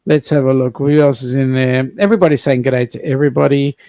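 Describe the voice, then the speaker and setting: A man's voice: medium pitch, quick pace, dark tone, no room sound, clean studio sound. A man, co-hosting a casual livestream and reading viewer comments aloud. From South Africa. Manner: chatty, reads out viewer comments. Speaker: co-host reading live chat.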